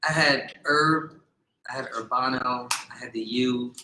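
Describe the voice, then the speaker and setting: A man in his forties from New Jersey whose first language was Italian, trading deadpan jokes as a podcast guest. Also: medium pitch, a little slow, roomy sound, a little hiss or hum, rough recording. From New Jersey, USA. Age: 40s. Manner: deadpan, joking. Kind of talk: casual podcast banter.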